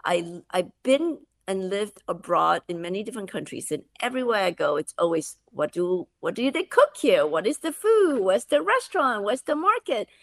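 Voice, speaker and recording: A middle-aged woman from Malaysia, a guest talking in an animated, conversational way with many restarts. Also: mid-range voice, moderate pace, hardly any pauses, no echo. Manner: animated, with frequent restarts and "you know". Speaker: guest, food subscription founder. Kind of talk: conversational interview.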